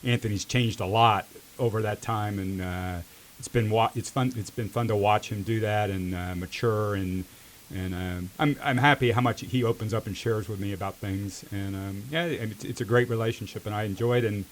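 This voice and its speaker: Low-voiced middle-aged American man, halting delivery. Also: steady tone, slight background noise. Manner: halting, reflective, repeats words. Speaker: volunteer mentor, interview guest. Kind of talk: casual interview answers.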